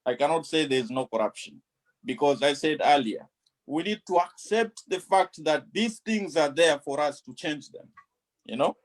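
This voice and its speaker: A man from Kenya, an official speaking on a talk show panel, measured and persuasive, often repeating his points. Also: a little high. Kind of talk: earnest panel discussion.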